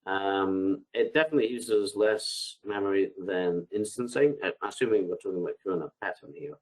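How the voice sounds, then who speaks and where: A man with a very low voice, thick and stuffy from a heavy cold, answering audience questions live over a headset. He reads each question out, then replies loosely with plenty of ums and restarts. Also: normal speed, poor audio. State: congested from a bad cold. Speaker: product expert answering webinar questions. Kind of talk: live webinar Q&A, unscripted. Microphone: headset on a video call.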